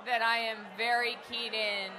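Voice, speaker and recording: A young woman co-hosting a casual sports chat, playful and chatty, quick to laugh. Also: a little high, flat delivery. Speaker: co-host. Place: crowded bar, indoors. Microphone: handheld mic. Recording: live bar crowd and noise behind.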